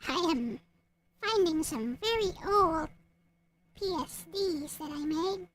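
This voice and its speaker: Very high-pitched woman, muttering slowly. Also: lively intonation, fairly bright tone. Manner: halting, muttering to herself. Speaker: visual novel creator narrating. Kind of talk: casual chat while searching computer files. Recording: faint background noise.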